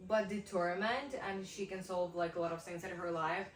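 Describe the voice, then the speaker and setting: A young woman with a Ukrainian accent, chatting casually and thoughtfully, pausing with many fillers. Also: on the low side, steady tone, roomy sound, far from the mic. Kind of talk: casual chat.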